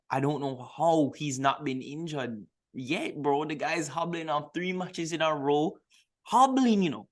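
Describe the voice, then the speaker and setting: A man co-hosting a casual football podcast, talking in a slangy, emphatic way and repeating words for stress. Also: a little high. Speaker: podcast co-host.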